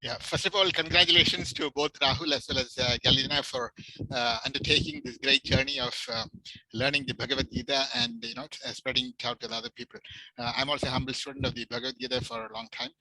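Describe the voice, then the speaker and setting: A man's voice: mid-range voice, steady tone, fast talker, pushed and bright, dry recording, poor audio. A man from the audience politely asking a question at an online book talk, hesitant and full of fillers.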